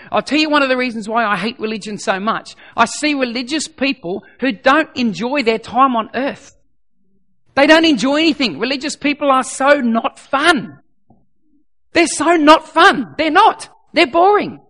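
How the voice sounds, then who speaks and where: A middle-aged man preaching as a pastor, his voice a bit croaky. He talks emphatically, repeating his points and dropping to a soft voice for prayer. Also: extremely high pitch, lively intonation, moderate pace. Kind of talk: sermon.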